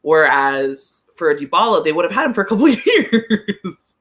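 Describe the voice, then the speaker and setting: A podcast guest giving casual soccer commentary at a middling pitch. The delivery is conversational and a little hesitant, working thoughts out aloud with plenty of "like" along the way. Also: sing-song, moderate pace, a bit bright. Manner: hesitant, thinking aloud, frequent use of "like".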